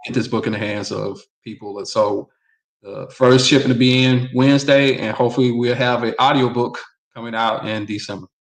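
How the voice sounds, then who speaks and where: Guy from mississippi, flat monotone delivery, gravelly, steady and matter-of-fact. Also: mid-range voice, fairly quick, rough recording. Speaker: author and filmmaker guest. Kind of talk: casual interview chat.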